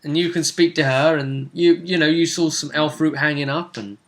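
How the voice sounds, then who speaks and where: Male game master, casual and animated storyteller, slightly high pitch, bright forceful tone. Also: normal speed. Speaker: game master recapping a tabletop role-playing session. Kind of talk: casual chat recapping a fantasy game.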